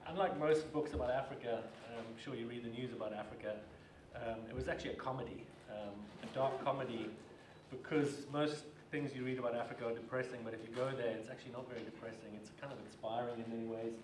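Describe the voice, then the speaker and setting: Panel author, man from Zimbabwe in his forties, halting delivery, roomy distant sound. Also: medium pitch, steady tone, background noise. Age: 40s. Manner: hesitant, many fillers and restarts. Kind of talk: casual talk on a panel. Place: stage in a large dark hall. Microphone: handheld microphone. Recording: roomy hall sound, mic a bit off.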